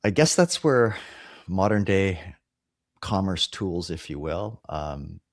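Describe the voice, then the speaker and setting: A man's voice: low-pitched, expressive, takes it slowly, no room sound, studio quality. A man from Hawaii hosting an interview podcast, talking casually with lots of fillers and restarts. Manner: rambling, many fillers and restarts. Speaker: podcast host.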